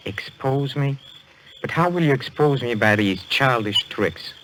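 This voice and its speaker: An actor playing a suave, menacing spirit medium, theatrical and courtly, in an old radio thriller. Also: male voice, mid-range voice, lively intonation, unhurried, close to an everyday voice. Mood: mocking. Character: suave, menacing foreign male spirit medium, mockingly polite. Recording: noisy old radio drama recording.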